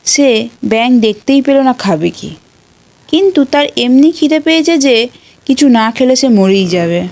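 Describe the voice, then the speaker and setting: Female narrator for children's tales, unhurried delivery, voice a little high. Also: expressive, darkish tone. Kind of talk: scripted storytelling for children.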